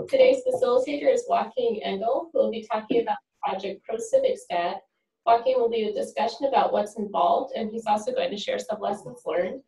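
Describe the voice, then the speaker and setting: A woman's voice: mid-range voice, mellow. A woman hosting a webinar, reading an upbeat introduction of the speaker. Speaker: webinar host. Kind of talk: scripted introduction. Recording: echoey, from far off the mic.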